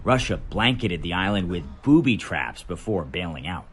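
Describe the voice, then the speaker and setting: An American man, a news correspondent, reading a scripted report voice-over in a dramatic, measured way with deliberate pauses. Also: low-pitched, sing-song, fairly bright tone, breathy edge.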